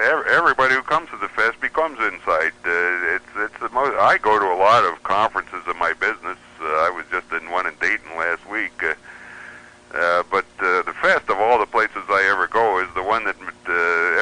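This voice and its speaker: A man's voice: fairly low pitch, sharp tone, poor audio. A man, a radio hobbyist and columnist, chatting casually as a guest and telling rambling stories. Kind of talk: casual anecdotal chat.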